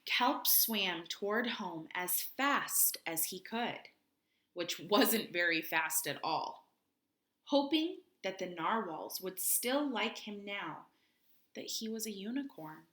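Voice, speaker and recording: Lady reading a kids book out loud, slow and super expressive, asks the little ones questions. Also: mid-range voice. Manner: expressive, dramatic pauses and hushed moments for young listeners. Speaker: children's read-aloud host. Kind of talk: animated picture-book read-aloud with chatty asides.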